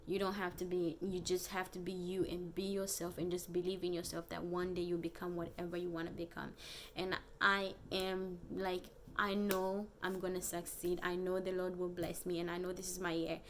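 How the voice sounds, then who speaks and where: Low-voiced young African-accented woman, noisy recording. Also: completely flat delivery. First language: Bemba. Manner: earnest, halting, repeats phrases. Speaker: vlogger. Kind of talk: casual personal vlog chat.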